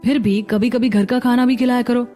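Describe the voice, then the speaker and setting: Young mother and daughter-in-law, woman voice actor: patient, sensible, mellow high voice, cartoon drama. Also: fairly even intonation, a little fast, faint music behind, natural voice. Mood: reasoning. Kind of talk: Hindi cartoon story acting.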